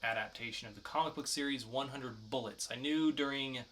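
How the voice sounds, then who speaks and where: A man with a mid-pitched, bright voice co-hosts a casual news chat podcast. He talks conversationally, and a light layer of background noise sits under his voice. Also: fairly quick. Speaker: podcast co-host.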